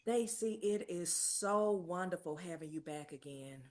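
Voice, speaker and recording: A woman's voice: lowish voice, a little slow, close to an everyday voice. A woman playing a kindly, encouraging older aunt in a screenplay table read. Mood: warm, fond.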